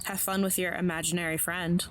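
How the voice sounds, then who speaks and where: Audio drama role: woman as an awkward teen girl, lowish voice, dry sarcasm, faint noise behind. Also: level tone, fairly quick, close to an everyday voice. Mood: wry, deadpan. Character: wry, awkward schoolgirl, teenage. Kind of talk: naturalistic audio drama acting.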